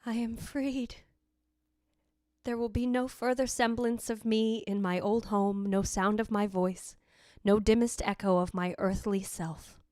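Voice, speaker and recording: A woman's voice: medium pitch, fairly even intonation, unhurried, darkish tone, no room sound, lightly acted. A remorseful ghost of a dead wife , played by a woman, tells her story in a dry, close sound. Her delivery is formal and lyrical, solemn and tender, in the manner of old-time radio drama. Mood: serene, exalted.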